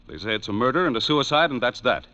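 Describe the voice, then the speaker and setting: A man's voice: medium pitch, lively intonation, fast, bright, forceful tone. An actor playing a formal, polite and earnest widowed male client in a 1940s radio detective drama. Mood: troubled, determined. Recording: dull old radio recording.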